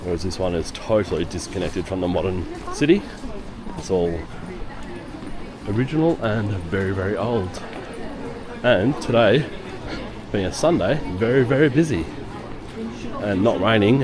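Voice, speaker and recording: A man with a hoarse voice from a lingering cough, giving chatty walking-tour commentary on busy city streets. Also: on the low side, lively intonation, moderate pace, pauses often, crowd noise, low-quality recording. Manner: chatty, rambling, with fillers. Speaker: travel vlogger. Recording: outdoor street noise. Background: city street noise and traffic.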